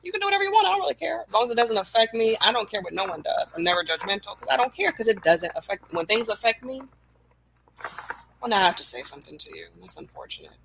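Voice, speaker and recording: Bright woman on a podcast, animated and self-mocking, faint hiss behind. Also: mid-range voice, quick pace, creaky, low-quality recording. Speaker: podcast co-host. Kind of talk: casual chat.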